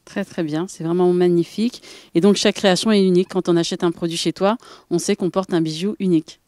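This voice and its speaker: A woman's voice: lowish voice, steady tone, fast talker, dark tone, dry recording, clean studio sound. A woman hosting a TV interview, upbeat and full of compliments. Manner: enthusiastic, complimentary. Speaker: TV show host.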